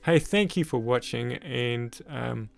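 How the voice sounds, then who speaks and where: A man with a croaky voice casually narrating a woodcarving tutorial in voice-over, rambling with lots of "sort of, like". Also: medium pitch, slow. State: croaky voice after illness. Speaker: woodcarving channel host.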